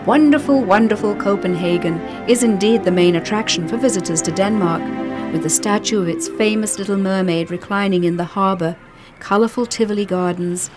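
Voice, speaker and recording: A woman reading scripted travel documentary narration in a measured, descriptive way. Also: mid-range voice. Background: background music.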